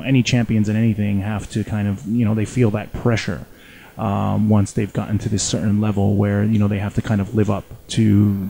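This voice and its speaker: Low, mellow male interviewer, rambling. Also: gravelly, slight background noise. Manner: rambling, repeats filler phrases. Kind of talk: casual phone interview.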